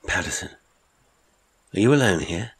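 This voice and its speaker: A man's voice: deep voice, expressive, moderate pace, husky, full character voice. A man voicing a worn-out, irritable castaway who fires off brusque questions. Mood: impatient. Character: exhausted, irritable shipwrecked Englishman.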